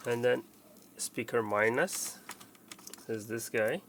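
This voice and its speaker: Male technician for a plain how-to walkthrough: fry-edged voice, casual and repetitive instruction, faint background noise. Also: mid-range voice, takes it slowly, a bit bright. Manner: plain, step-by-step explaining, often repeating instructions. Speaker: technician presenting a how-to tutorial. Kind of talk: casual hands-on installation walkthrough.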